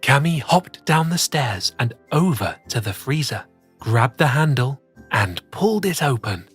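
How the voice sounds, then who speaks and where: Husky playful children's story host. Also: medium pitch, sing-song, a little slow, soft background music. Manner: playful, addresses young listeners directly. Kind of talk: expressive presenting and storybook narration.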